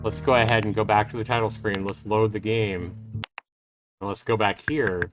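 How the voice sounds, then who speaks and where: A man hosting a livestream, reading a visual novel aloud in a measured storytelling way. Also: lowish voice, steady tone, poor audio. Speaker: livestream host. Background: loud music and birdsong.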